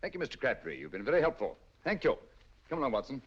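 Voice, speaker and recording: A commanding, middle-aged master detective who speaks fast and with crisp diction. His pitch rises and falls with lively energy. The sound is dull and lo-fi, like an old 1940s film.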